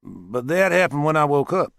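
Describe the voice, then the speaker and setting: A man's voice: a little high, very animated, normal speed, creaky, a bit bright, no room sound, pitched above the performer's own voice, heavily acted. A man voicing a determined police officer of thirty with a probing, insistent manner in an audiobook. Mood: questioning. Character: questioning, determined thirty-year-old male police officer.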